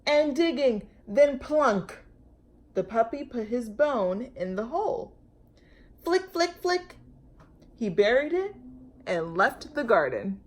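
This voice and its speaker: Slow, animated woman reading a children's storybook. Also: a little high. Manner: animated, drawn-out words and sound effects. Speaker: storytime host reading aloud. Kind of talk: expressive children's picture book narration.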